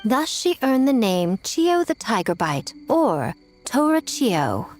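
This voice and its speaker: A woman playing a poised teller of grim legends in a fantasy game, with deliberate, dramatic delivery. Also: fairly high pitch, sing-song. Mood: dramatic, grave. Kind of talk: scripted fantasy game dialogue. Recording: music behind the voice.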